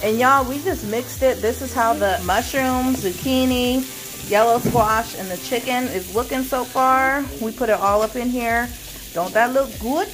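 A woman's voice: on the high side, a little slow. A woman in her thirties with an American accent, giving casual commentary on a home cooking vlog. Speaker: family vlog host filming. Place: home kitchen, indoors. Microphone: handheld camera or phone, held by speaker. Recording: noisy kitchen with griddle sizzle. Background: frying sizzle, kitchen ambience.

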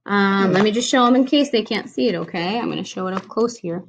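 A woman's voice: medium pitch, fast, slight background noise, low-quality recording. Woman reading a picture book aloud to a child. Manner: patient, explaining in simple words to a young child. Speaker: speech therapist reading to a child. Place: home kitchen, indoors. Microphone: laptop or webcam at table distance.

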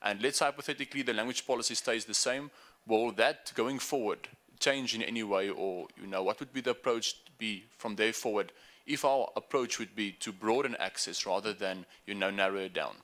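A young man asking a formal, measured question at a university debate into a desk microphone. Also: fairly low pitch, a bit bright. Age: young adult. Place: university meeting room.

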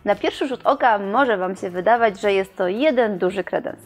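A young woman presenting and reviewing furniture in a showroom, in a clear, explanatory scripted delivery. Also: mid-range voice, moderate pace, faint music behind.

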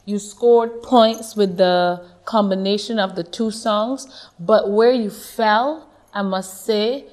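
A woman judging a talent show, who gives direct, measured critique. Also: mid-range voice, a little mellow. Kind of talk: judge's critique. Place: studio judging table.

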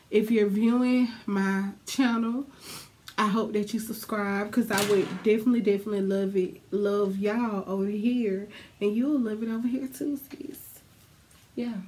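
Stuffy-nosed chatty young woman, slow drawl. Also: mid-range voice, dark tone. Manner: chatty, blunt, swears freely, talks straight to viewers. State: stuffy, congested from a sinus infection. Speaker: beauty vlogger. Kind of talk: casual wig review to camera. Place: small dark room at home.

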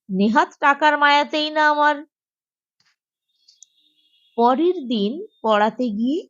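A woman's voice: on the high side, muffled recording. A woman narrating a humorous short story expressively, acting out the dialogue as she reads. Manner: animated, acts out dialogue.

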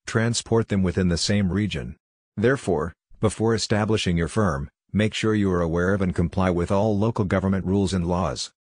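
A man narrating a scripted farming guide in a steady, even reading voice. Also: low-pitched, no echo, clean studio sound.

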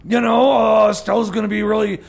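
A man co-hosting a comedic radio music show, bantering and announcing songs in a halting, flustered, rambling way. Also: high-pitched, little pitch movement, creaky, husky.

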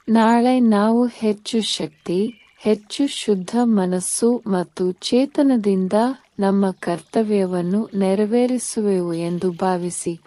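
Female meditation guide: soft-toned, dark and mellow, very slow scripted delivery with soothing pauses. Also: mid-range voice, no echo. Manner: soothing, unhurried, instructing in short phrases with pauses.

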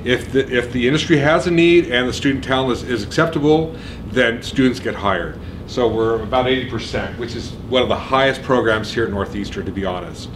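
Middle-aged man, emphatic orientation speaker, mid pitch, noisy lecture room. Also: brisk. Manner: energetic, emphatic, checks in with okay and right. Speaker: program administrator. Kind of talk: orientation speech.

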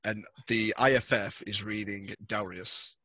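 A man with a husky voice narrating a tabletop roleplaying game as game master, in a halting, hesitant way. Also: fairly low pitch, fairly even intonation, pushed and bright. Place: indoors, on a group video call.

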